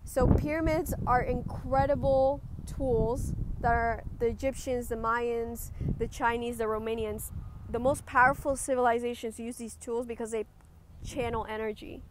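Woman, higher-pitched voice, meditation guide, gentle instructive delivery, noticeable ambient background noise. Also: steady tone, moderate pace.

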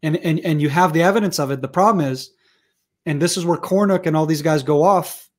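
A fairly high-voiced man in his thirties, from Israel and a native Hebrew speaker, talking with an American accent. He argues heatedly over a video call from home through wired earphones, stumbling into restarts as he pushes his case. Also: brisk. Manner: passionate, argumentative, many restarts. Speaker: guest researcher. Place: room at home.

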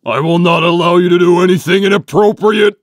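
A man voicing a gruff, hot-tempered, gullible young echidna, blunt and indignant, in a cartoon comic dub. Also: high voice, steady tone, brisk, a little hiss or hum, lightly acted. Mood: flustered anger.

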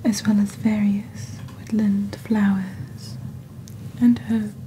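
Young female ASMR presenter, breathy near-whisper, very mellow and dark tone, deliberate scripted read, faint ambient noise. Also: medium pitch, flat delivery, rough recording. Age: young adult. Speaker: ASMR host playing a shopping channel presenter. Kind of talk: soft-spoken ASMR, scripted product presentation.

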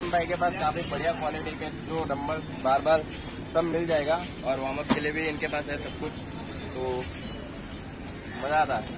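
A man's voice: on the high side, flat delivery, moderate pace. Young man vlogging through a noisy street market. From India. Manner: chatty, haggling with sellers while walking. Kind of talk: casual walking market vlog. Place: busy outdoor street market. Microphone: handheld phone camera. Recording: noisy outdoor street recording. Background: crowd chatter and passing traffic.

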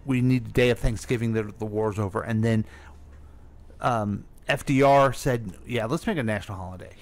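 A man's voice: on the low side, normal speed. An American man co-hosting a radio show in casual chat, with an informal, prompting manner. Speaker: radio co-host.

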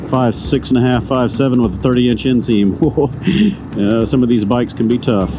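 A man riding a motorcycle and chatting casually to the camera through a helmet mic, rambling, loose and quick to swear and chuckle. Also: medium pitch, noisy background. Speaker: motorcycle vlogger. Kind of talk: casual ride commentary. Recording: music playing under the voice.

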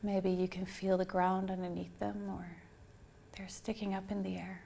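A woman guides a meditation with soothing, unhurried instructions. Her pitch stays fairly even, and there is a clear creak in her voice. She sounds like a yoga teacher gently leading a practice. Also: slight background noise.

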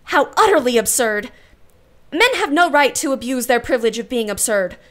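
A proud, genteel aunt from a period radio drama. Her voice sits a little high, and she speaks formally and precisely, with an arch, wry edge. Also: big pitch swings, moderate pace, fairly bright tone. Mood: indignant. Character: proud, wry, refined aunt, secretly jealous. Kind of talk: period radio play acting.

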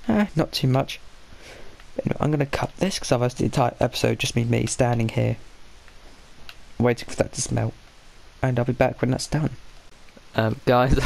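A man's voice: medium pitch, creaky. Stuffy-nosed man rambling over gameplay. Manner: rambling, self-correcting, mutters "oh dear". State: blocked nose, stuffy. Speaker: gaming channel host. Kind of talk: casual gameplay commentary.

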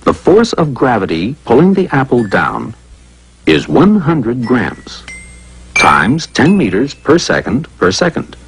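A man's voice: medium pitch, huge pitch swings, takes it slowly, slight background noise. A man narrating a scripted educational cartoon in a playful, conversational way, full of rhetorical questions.

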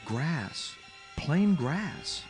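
A man's voice: on the high side, very animated, extremely slow, soft background music, heavily acted. A man playing a wry, unflappable expedition scientist in a radio drama, dry and curious. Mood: wryly amused.

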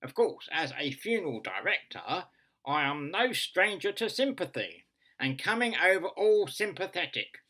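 A man performs an earnest funeral director phoning a radio show in a comic story. The voice is nasal and bright, with pitch that swings widely. He is hesitant and ponderous, repeating himself with deadpan solemnity. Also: moderate pace, full character voice. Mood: solemn, pedantic. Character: earnest, long-winded male funeral director, radio phone-in caller.

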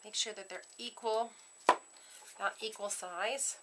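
A woman giving a casual step-by-step craft demonstration at her worktable, chatting in a relaxed way while working with her hands. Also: medium pitch, slow, very noisy. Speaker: craft tutorial host.

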